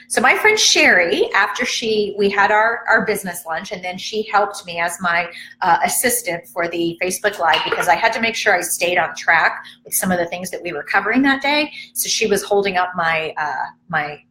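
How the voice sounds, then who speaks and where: A woman's voice: on the low side, pushed and bright, echoey room, slight background noise, low-quality recording. A woman from the Chicago area chatting casually and rambling on a live stream at home, joking about herself and answering viewer comments. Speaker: fitness vlogger hosting a live stream. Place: at home, indoors.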